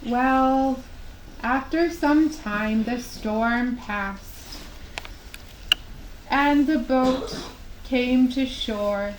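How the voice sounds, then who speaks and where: A woman narrates a children's tale at a very slow, careful pace, pausing gently between phrases. Her voice sits a little high. It echoes around the room, with some background noise. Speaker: puppet show storyteller. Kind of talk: children's storytelling, scripted narration. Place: indoor room at a live puppet show. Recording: roomy echo, clear background noise.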